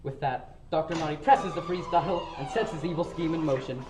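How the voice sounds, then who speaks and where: A man's voice: a little high, a little fast, darkish tone, a little hiss or hum, natural voice. A man playing a melodramatic radio serial announcer, narrating in a grandiose manner. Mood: suspenseful. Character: dramatic old-time radio serial announcer. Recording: echoey room.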